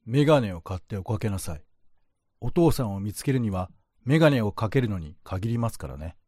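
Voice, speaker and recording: A man's voice: fairly low pitch, expressive, fairly quick, warm tone, no echo, studio quality, voice pushed down. A man voicing a smiling Western doll head that coaxes politely and a little eerily in a radio drama. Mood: coaxing. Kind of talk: radio-drama style reading.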